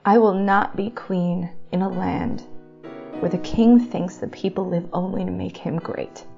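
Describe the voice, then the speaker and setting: A woman's voice: lowish voice, steady tone, measured pace, gravelly, music in the background. Woman as a proud young princess, muffled sound. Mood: weary, resolute. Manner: earnest, declamatory. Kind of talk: audio drama acting.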